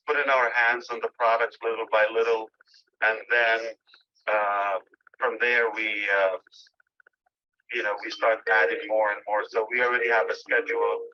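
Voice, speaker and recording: A man giving a company update over a phone call, pausing often with filler sounds as he searches for words. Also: fairly low pitch, slight background noise. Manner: halting, many filler pauses. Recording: dull lo-fi phone line.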